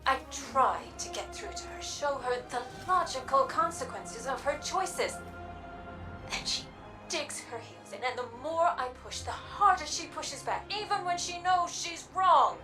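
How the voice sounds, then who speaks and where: Game casting: frustrated younger sister, high and very animated, earnest, distant under music. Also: female voice, measured pace. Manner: earnest, hesitant, emotional. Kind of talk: scripted dramatic game dialogue.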